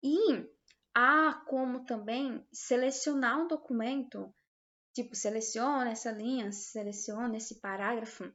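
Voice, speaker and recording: Female tutorial instructor, Brazilian accent, higher-pitched, hesitant and explanatory, informal delivery, muffled low-fidelity audio. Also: a little slow, a bit bright. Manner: hesitant, explanatory, checks understanding with short tags. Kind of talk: informal software tutorial, screen demonstration.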